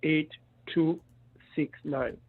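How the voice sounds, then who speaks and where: Hesitant caller on a husky phone line. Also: male voice, fairly high pitch, fairly even intonation, drawn-out words. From Republic of the Congo. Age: 50s. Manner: hesitant, unsure.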